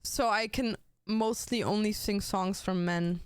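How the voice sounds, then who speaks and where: Young woman, crisp voice, dry close mic, casual stream chat full of swearing. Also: medium pitch, steady tone, unhurried, dry recording. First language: German. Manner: swears a lot, says "like" and "bro" constantly.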